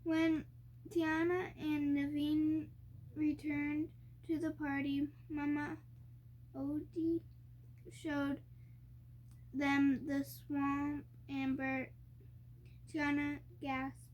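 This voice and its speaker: A young girl reading a storybook aloud haltingly, sounding out and stumbling over harder words. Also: high voice, flat delivery, darkish tone, low-quality recording. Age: child. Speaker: young reader co-hosting a family reading video.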